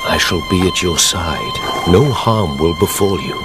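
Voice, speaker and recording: Radio drama count: low voice, formal, brooding, measured, quietly menacing with vengeance. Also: male voice, very noisy. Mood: quiet reassurance. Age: middle-aged. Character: brooding, vengeful middle-aged count, courtly, controlled, secretly haunted.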